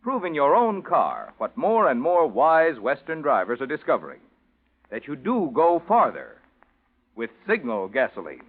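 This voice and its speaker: Through a narrow, tinny old radio recording, a male announcer delivers a sponsor read. His pitch moves in lively swings, with the polished emphasis of a 1940s radio salesman. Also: moderate pace.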